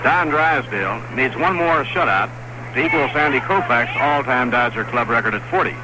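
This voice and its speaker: A man calling a baseball game on the radio in a steady, fact-filled play-by-play style from a stadium broadcast booth. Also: mid-range voice, normal speed. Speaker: play-by-play announcer. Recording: noisy 1960s radio broadcast.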